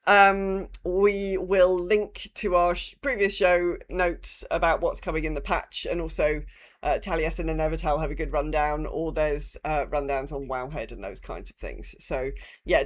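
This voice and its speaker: A woman's voice: low-pitched, moderate pace. A woman co-hosting a gaming podcast in casual chat, talking in an informal, rambling way with some mild swearing. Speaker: podcast co-host.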